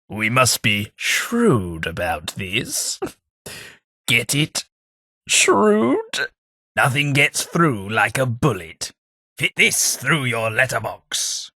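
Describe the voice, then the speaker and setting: A man's voice: medium pitch, sharp tone, dry recording, exaggerated voice. A man voicing a snobbish, sardonic male ghoul aristocrat with an English accent, delivering game companion lines in a prim, dry upper-class drawl. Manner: prim, snobbish, wryly sarcastic.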